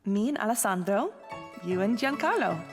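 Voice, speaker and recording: A woman's voice: mid-range voice, big pitch swings, moderate pace, in a large hall, over music, natural voice. A woman playing a bold, scheming teenage Sicilian girl in a radio play, theatrical, persuasive and playful. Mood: playful, insistent. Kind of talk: dramatic radio play acting.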